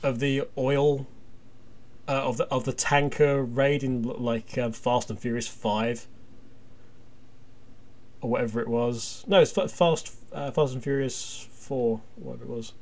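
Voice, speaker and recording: A man hosting a casual fan podcast, rambling excitably and jokily through stories and listener feedback. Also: mid-range voice, unhurried. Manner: excitable, jokey, meandering storytelling.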